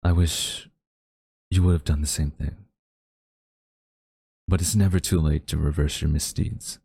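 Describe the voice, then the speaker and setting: A very low, dark and mellow voice, recorded close and dry with no room sound. It is a haughty government official played by a man with a hidden tenderness. He speaks in formal, theatrical phrases, as in an intimate audio drama. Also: fairly even intonation, creaky, husky, no room sound, full character voice. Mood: earnest, gentle. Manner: formal, theatrical, deliberate wording. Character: haughty government official, male, secretly tender toward captured villain. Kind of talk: dramatic audio roleplay monologue addressed to listener.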